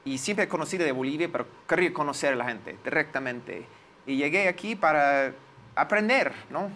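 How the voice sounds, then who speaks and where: A man in his forties with an American accent, a native English speaker, recalling his experiences in an interview and pausing to search for words. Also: fairly high pitch, expressive, sharp tone, slight background noise. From United States. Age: 40s. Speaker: lawyer, interview guest. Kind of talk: interview, personal recollection.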